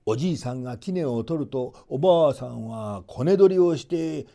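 A man's voice: mid-range voice, expressive, moderate pace, vocal fry, mellow. A man narrating a folk tale as a storyteller, in a theatrical scripted reading.

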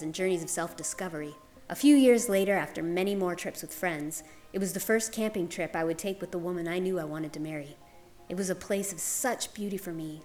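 A woman reading a scripted literary story aloud as a narrator. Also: fairly low pitch. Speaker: guest reader. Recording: music under the voice. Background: music.

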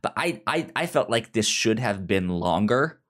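A podcast host reviewing a book in casual chat, speaking haltingly and often stammering over repeated syllables. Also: mid-range voice, expressive, a bit bright.

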